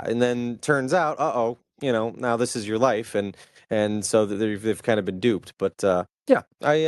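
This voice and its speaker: A man co-hosting a podcast in casual, profane chat, halting and circling back to rephrase. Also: medium pitch, a little mellow, clear, resonant tone, no room sound, clean studio sound. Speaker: podcast co-host.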